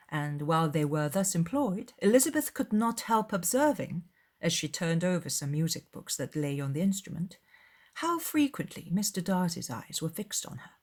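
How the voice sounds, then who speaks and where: A woman reading scripted literary narration as an audiobook narrator, in a formal, measured storytelling manner. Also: fairly low pitch.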